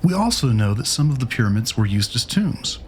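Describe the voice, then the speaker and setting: A man's voice: medium pitch, sing-song, a little fast, a little hiss or hum, lightly acted. A man voicing an earnest history teacher who explains things in a didactic, scripted lecture for a comic dub. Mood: instructive.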